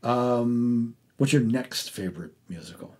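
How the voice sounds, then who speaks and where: A man hosting a casual arts interview, speaking haltingly and often restarting his sentences. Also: medium pitch, warm tone. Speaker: interviewer and podcast host.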